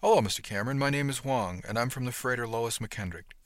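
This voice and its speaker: A man's voice: medium pitch, quick pace, no echo, studio quality, pitched above the performer's own voice, close to an everyday voice. A man voicing a courteous, earnest young male galley worker from a freighter in a story. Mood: polite, eager.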